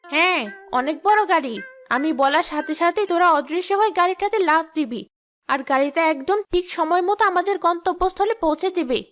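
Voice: very high-pitched, a little fast, fairly bright tone, soft background music. A performer voicing a bossy female ghost teacher in a children's cartoon, scolding and sarcastic. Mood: commanding.

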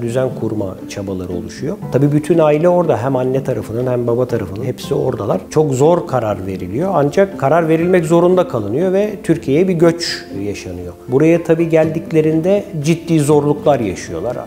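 A man in his forties speaks in a relaxed, warm tone at a middle pitch. He narrates like an executive in a documentary interview, recorded indoors on a lapel mic. Music plays softly behind his voice. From Istanbul, Turkey. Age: 40s. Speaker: company chairman telling his business story. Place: quiet office room, indoors. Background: background music.

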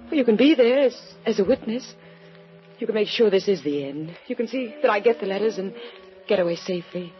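A woman's voice: medium pitch, lively intonation, a little fast, natural voice. An actress playing an anxious, guarded woman who pleads for help in a 1940s radio drama. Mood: tense, pleading. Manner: urgent, pleading. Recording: dull old radio broadcast with music.

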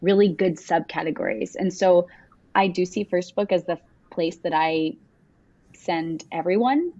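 A woman's voice: lowish voice, lively intonation, measured pace, warm tone, no room sound. A young woman elementary teacher talking casually with lots of fillers on a video call from home. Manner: casual, frequent "like" fillers, gestures while talking. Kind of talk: casual panel answer. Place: home room with windows. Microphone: webcam on video call. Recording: dull dry webcam audio.

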